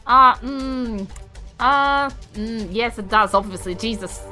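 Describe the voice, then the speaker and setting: A woman's voice: on the high side, slow, bright, forceful tone, faint music behind. A woman playing a tabletop game, chatting casually in a slightly flustered, exclaiming way. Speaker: player in a tabletop game podcast.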